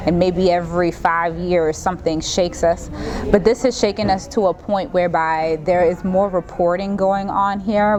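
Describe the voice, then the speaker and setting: A woman speaking as a mother, thoughtful and steady. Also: fairly low pitch. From raised in the United States. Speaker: mother and guest. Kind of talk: reflective interview talk.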